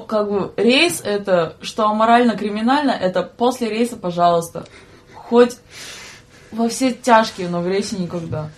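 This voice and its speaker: A young adult woman, a guest in a casual chat, telling stories in slangy speech and acting out what was said. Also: medium pitch. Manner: animated, slangy, giggly storytelling with acted-out quotes. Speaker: podcast guest, student train attendant.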